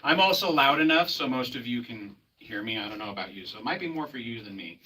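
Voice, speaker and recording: A man's voice: mid-range voice, lively intonation, fast, bright, forceful tone, slight background noise. A man giving an informal live presentation as a geology lecturer, with a joking, teasing manner. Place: live event at a historical society. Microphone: handheld microphone. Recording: distant mic, music clearly behind.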